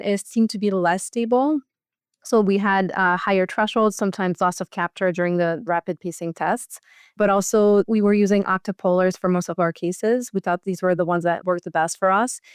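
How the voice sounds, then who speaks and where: A woman's voice: medium pitch, smooth, dry recording, clean studio sound. A woman speaking as a cardiologist in an interview, explaining a procedure in a conversational style.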